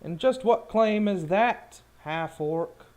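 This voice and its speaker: Dismissive guard for a fantasy game, played by a man, challenging and scornful, pitched up. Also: slow, a little mellow.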